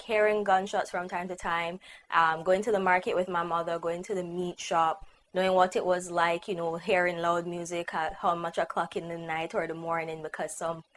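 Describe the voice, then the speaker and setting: A woman's voice: fairly low pitch, slight background noise. Upbeat young Jamaican-accented woman vlogger. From Guyana, raised in Jamaica. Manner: chatty, upbeat, many "like" fillers. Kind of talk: casual personal vlog.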